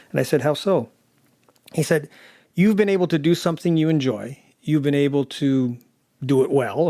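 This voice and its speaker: A man in his fifties or older giving a reflective interview, halting and repeating words as he thinks. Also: medium pitch, a little mellow, no echo, clean studio sound. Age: 50s or older. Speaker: technical producer and interview guest.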